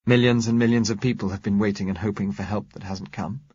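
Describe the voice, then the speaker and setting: A man reading aloud, voicing a spirited young Englishwoman in clipped, brisk lines. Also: lowish voice, steady tone, mellow, breathy edge, dry recording, highs cut off.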